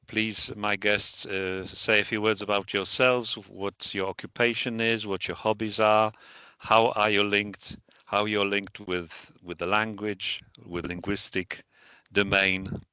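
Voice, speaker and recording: Male interview host, smooth and resonant voice, slightly low, formal and halting. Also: flat delivery, bright, forceful tone, no room sound. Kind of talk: hosting an interview.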